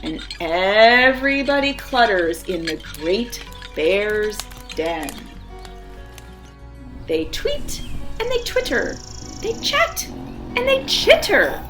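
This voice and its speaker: Woman, children's storyteller, theatrical, lively swooping pitch, unhurried delivery, background music. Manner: dramatic, acts out sound words. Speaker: storyteller reading a children's picture book. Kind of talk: expressive, theatrical read-aloud. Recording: music behind the voice.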